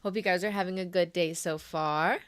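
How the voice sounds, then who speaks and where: A young adult woman hosting a crochet live stream, chatty and friendly with viewers while sick with a sore throat, often clearing her throat. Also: lowish voice, fairly even intonation, moderate pace. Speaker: crochet livestream host. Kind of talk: casual live stream chat.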